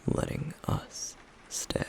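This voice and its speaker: A man voicing a stoic, guarded flame demon in an intimate ASMR roleplay, with a breathy, gravelly murmur and a terse, deadpan, caring manner. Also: slow, a little hiss or hum, lightly acted. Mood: wry, probing.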